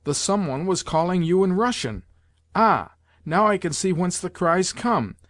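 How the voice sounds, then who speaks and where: A man voicing a reserved, dogged gentleman in an audiobook novel, speaking in a measured, matter-of-fact way. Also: high-pitched, lively intonation, no echo, pitched above the performer's own voice. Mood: observant.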